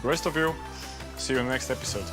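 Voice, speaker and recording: A man's voice: mid-range voice, very fast. Guy chef judge giving firm instructions, music in background. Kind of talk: hosting a cooking competition.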